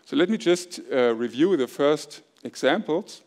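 A middle-aged man giving a measured academic lecture from a podium microphone on an auditorium stage, loud and with hesitations. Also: fairly high pitch, animated. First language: German. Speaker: plenary lecturer. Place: large dark auditorium stage.

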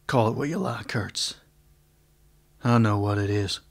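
A man's voice: fairly low pitch, unhurried, creaky. An actor playing a weary, terse male marshal in a western radio drama. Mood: bitter. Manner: terse, guarded.

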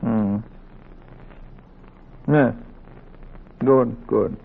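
An actor playing a gruff, grieving, weary middle-aged doctor in a 1940s radio drama, with a gravelly, husky voice. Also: male voice, medium pitch, very slow, exaggerated voice. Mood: weary, amused. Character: gruff, grieving older Southern doctor and surgery teacher. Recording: tinny old 1940s radio recording.

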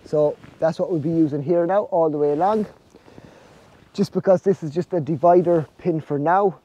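Irish farmer, mellow voice, slightly high. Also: male voice, normal speed, dull audio. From Ireland. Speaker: snail farmer presenting his farm vlog. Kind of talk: casual outdoor vlog explanation. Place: outdoors under netting on a farm.

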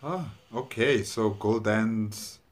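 Man, thirties, deep voice, casual reaction host, halting, reflective, indoor lapel mic. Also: very noisy. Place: plain small indoor room. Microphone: clip-on lapel mic.

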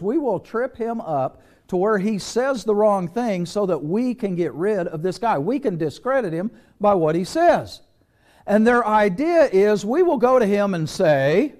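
A middle-aged preacher with a fairly high voice, talking to his congregation in an easy, conversational way and stacking up rhetorical questions. He is recorded on a small mic in a small church sanctuary. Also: a little mellow. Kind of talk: sermon.

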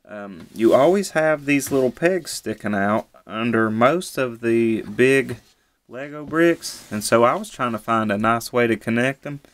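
A man casually reviewing a toy set at home, relaxed and easygoing, often repeating how cool it is. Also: mid-range voice. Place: indoors at a table.

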